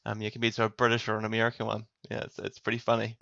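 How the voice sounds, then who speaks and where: A male podcast guest talks casually with a New Zealand accent. His voice is bright and sharp at an average pitch. The sound is close and dry but a little band-limited. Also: completely flat delivery, racing, gravelly, no room sound, dull audio. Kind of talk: casual interview chat.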